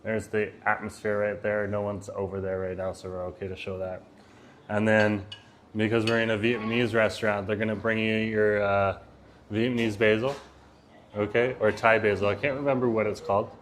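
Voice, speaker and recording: A man with a fairly low voice talks casually on a live stream from a restaurant table. He is chatty and easygoing, and he keeps his naturally loud voice a bit lower. Faint restaurant ambience sits in the background of the phone recording. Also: little pitch movement, moderate pace, slight background noise. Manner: chatty, easygoing, laughs often, reads chat aloud; says he has a loud voice and lowers it. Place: Vietnamese restaurant.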